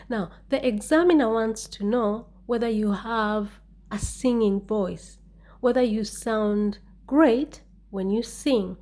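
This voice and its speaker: A woman gives an English exam-prep lesson at an unhurried, deliberate pace. Her voice is soft and mellow, and she repeats each sample answer with care. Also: medium pitch. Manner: slow, careful, repeats model answers. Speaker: English teacher.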